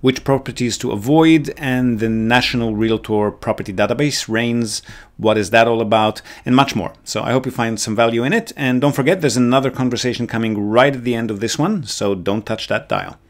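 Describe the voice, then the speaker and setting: Man, podcast host and property advisor, easygoing explainer, mid pitch, unhurried with filler pauses. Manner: relaxed, explanatory, frequent ums. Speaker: real estate consultant and podcast host. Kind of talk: casual consultation call.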